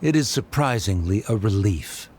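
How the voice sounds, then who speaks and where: An actor playing a haughty, disdainful scholar in a video game, with a pompous, measured delivery. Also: male voice, lowish voice, animated, a little mellow, slight background noise, lightly acted. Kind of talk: dramatic video game dialogue.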